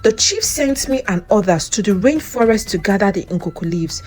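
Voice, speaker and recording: A woman's voice: mid-range voice, expressive, moderate pace, darkish tone, lightly acted. A woman voicing a frightened, earnest village man in a folktale confession. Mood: fearful. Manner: fearful, earnest. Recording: music under the voice.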